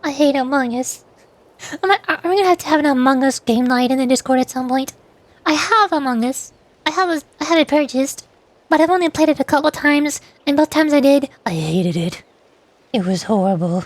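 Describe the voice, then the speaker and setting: A woman's voice: high voice, normal speed, no room sound. A woman streaming as a VTuber, chatting casually and rambling, often restarting her sentences and laughing at herself. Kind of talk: casual livestream chat.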